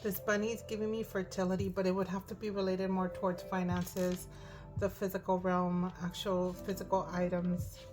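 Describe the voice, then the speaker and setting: Hoarse woman reading tarot, low flat voice, thinks aloud, music bed behind. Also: moderate pace. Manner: thinking aloud, frequent "right?". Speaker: tarot reader. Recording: music in the background.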